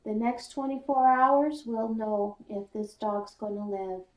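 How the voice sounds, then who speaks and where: A woman's voice: a little high, unhurried, natural voice. A woman voicing a plain-spoken, matter-of-fact male country doctor in a children's story. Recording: roomy echo, distant mic.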